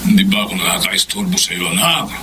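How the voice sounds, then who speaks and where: A gentle middle-aged father far from home, voiced by an actor in an audio drama. He talks softly and haltingly in a somewhat low voice. The recording carries clear background hiss. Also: lively intonation. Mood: tender. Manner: soft, hesitant, fatherly.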